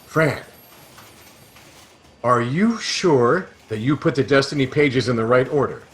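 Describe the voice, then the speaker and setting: A man playing an unflappable interstellar adventurer in a sci-fi satire, measured, polite and deadpan. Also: mid-range voice. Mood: dry, composed. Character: unflappable, wry male interstellar adventurer detective. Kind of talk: comic sci-fi audio drama acting. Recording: slightly noisy home recording.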